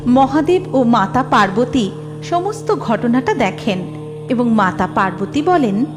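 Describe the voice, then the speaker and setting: Woman narrating a cartoon story, high voice, faint music behind. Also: slow. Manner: even, storytelling.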